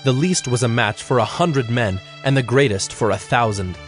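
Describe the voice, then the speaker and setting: A man narrating dramatized scripture in a solemn, measured, formal way. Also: medium pitch. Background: music.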